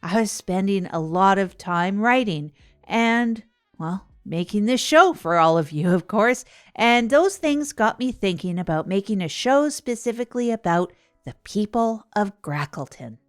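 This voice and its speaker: Faint music sits under a medium-pitched voice of a woman acting as a radio show host. The delivery is conversational, digressive and self-deprecating, in the loose style of improvised audio comedy. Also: lively intonation, a little slow, smooth, no room sound, clean studio sound, natural voice. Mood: chatty, wry. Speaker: host and narrator.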